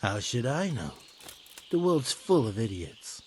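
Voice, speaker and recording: A man's voice: mid-range voice, very animated, a little slow, a little mellow, slight background noise. A man playing a brash, suspicious medieval thief with a blunt, sardonic manner in a video game. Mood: probing. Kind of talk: video game cutscene acting.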